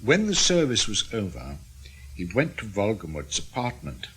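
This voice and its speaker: An actor playing a reflective, wry older British ex-intelligence officer in a radio drama, musing and measured. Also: male voice, lowish voice, wildly swooping pitch, slight background noise, rough recording. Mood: measured recounting. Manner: musing, measured, gently teasing. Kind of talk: naturalistic radio drama acting.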